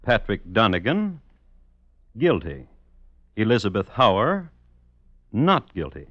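An actor playing a dry, deadpan crime historian who hosts and narrates an old radio series with precise, formal wording. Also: male voice, lowish voice, takes it slowly, no room sound, highs cut off, full character voice. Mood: dry, wry. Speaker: host and narrator. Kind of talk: 1950s radio scripted narration.

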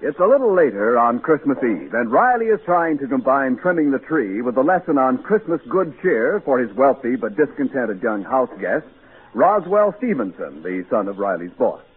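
An American man announcing on 1940s radio in formal, measured scripted narration, on a tinny old recording. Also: mid-range voice, big pitch swings, faint music behind.

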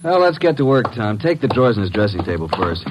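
Low-voiced wisecracking detective sidekick, old radio drama, brisk n slangy. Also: male voice, big pitch swings, noisy background. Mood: breezy. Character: breezy, wisecracking tough young male detective's assistant.

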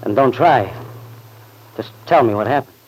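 An actor playing a jealous, irritable young husband with a curt, needling manner in an old-time radio soap opera. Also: male voice, medium pitch, expressive, moderate pace, breathy edge. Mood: sarcastic, prying. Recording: noisy vintage radio recording.

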